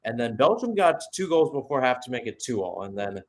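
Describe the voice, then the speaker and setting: A man's voice: medium pitch, sing-song, a little fast. A man giving live radio-style football commentary, switching between short clipped calls and conversational, analytical asides.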